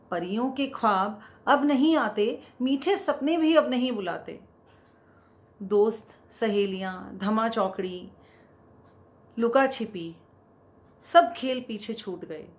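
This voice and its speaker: A woman poet reciting spoken-word poetry in a deliberate way, repeating lines for emphasis. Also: medium pitch.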